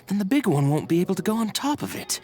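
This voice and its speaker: Puzzled, animated mid-pitched learner. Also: female voice, a little fast, breathy edge, soft background music. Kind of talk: scripted video game dialogue.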